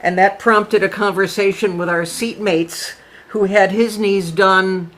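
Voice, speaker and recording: A woman's voice: medium pitch, fairly even intonation, measured pace, a bit bright. Female club member, cheerful and chatty, on a video call with faint background noise. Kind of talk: casual chat.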